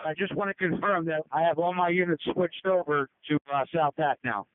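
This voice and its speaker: A man's voice: on the high side, fairly quick, no room sound. A man working as a fire division officer, reporting in emergency radio traffic over a two-way radio. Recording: tinny narrowband fire radio transmission.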